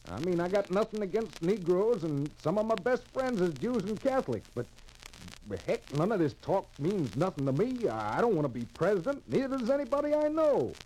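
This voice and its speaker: A man's voice: high voice, a little mellow, slight background noise, poor audio. An actor playing a plain-spoken working man from Saint Louis who talks in colloquial, stammering phrases, in 1940s radio style.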